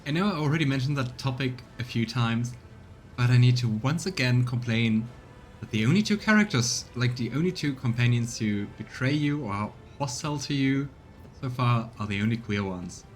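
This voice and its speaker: A man's voice: mid-range voice, animated, normal speed, soft background music. A man giving casual let's play commentary and reading game dialogue aloud, with exasperated, sarcastic asides.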